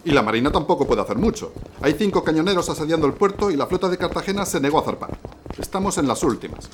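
A man's voice: on the high side, animated, fast talker, a little hiss or hum, natural voice. A man playing a grim, dutiful army lieutenant who reports formally and matter-of-factly in an audio drama. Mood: grim, urgent.

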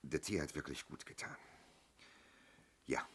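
An actor in a German radio play playing a polite older man giving a statement, husky and halting, courteously over-explaining. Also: very deep voice, fairly bright tone, slight background noise. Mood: polite, hesitant. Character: polite, hesitant older male robbery victim giving a statement.

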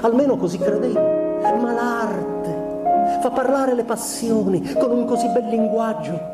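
A man acting a sensitive, melancholy young husband in a literary radio monologue, with measured, introspective phrasing and deliberate pauses. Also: very high voice, over loud music. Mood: reflective, intimate. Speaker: stage actor. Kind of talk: literary dramatic monologue, letter read aloud. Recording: echoey room with faint background noise.

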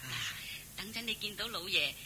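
Motherly maidservant, Hong Kong Cantonese, very bright forceful voice, bossy, kindly, fussy, radio drama, faint hiss on old recording. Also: medium pitch, big pitch swings, normal speed. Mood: pleased, reminding. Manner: scolding, instructing, fussy.

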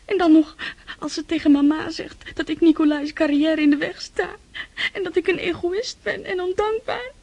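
Very high, tearful young girl. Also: fairly even intonation, moderate pace, darkish tone, no echo. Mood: tearful, anguished. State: crying. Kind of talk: radio play acting.

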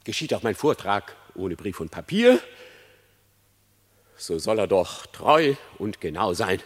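An actor playing a cunning, lying fox who theatrically feigns repentance in a classic German verse radio drama. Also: male voice, on the low side, very animated, unhurried. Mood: solemn, courteous. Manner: theatrical, feigned repentance, swinging between hush and outcry. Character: cunning lying male fox pleading before his execution.

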